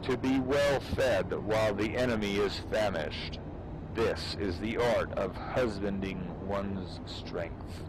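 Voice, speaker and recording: Slow, solemn low-voiced man reading dramatically. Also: background noise. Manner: solemn, deliberate delivery with pauses. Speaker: amateur reader performing for fellow gamers. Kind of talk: dramatic audiobook-style reading of a military treatise.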